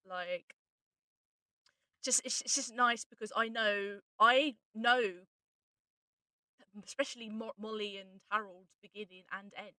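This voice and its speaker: A woman's voice: mid-range voice, a little slow, pauses often, sharp tone, no echo, rough recording. A woman writer and co-host explaining things informally in a casual discussion, often breaking off mid-thought. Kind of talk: casual behind-the-scenes discussion.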